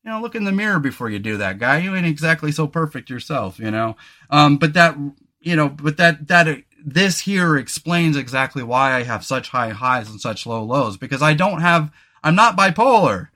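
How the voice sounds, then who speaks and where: A man's voice: expressive, normal speed, pushed and bright. A man hosting a podcast in casual chat, talkative, swearing casually and joking about himself. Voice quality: deep booming voice. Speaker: podcast host.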